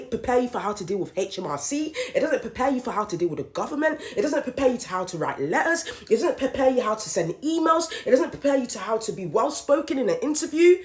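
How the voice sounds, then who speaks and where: A woman, hosting a podcast and venting her thoughts in a candid solo monologue, with emphatic repetition. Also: fairly high pitch. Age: late 30s. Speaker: podcast host. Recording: roomy echoey room.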